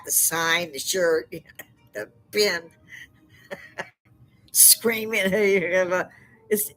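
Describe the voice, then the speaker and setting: Older woman, loud and laughing, a live-stream host chatting at her desk mic. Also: fairly low pitch. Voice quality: loud speaking voice. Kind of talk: casual live-stream chat. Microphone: desk microphone.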